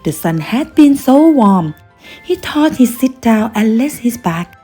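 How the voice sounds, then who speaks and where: A woman reading a children's bedtime story aloud as narrator, in a dramatic, deliberate storytelling manner. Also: a little high, big pitch swings, mellow, faint music behind.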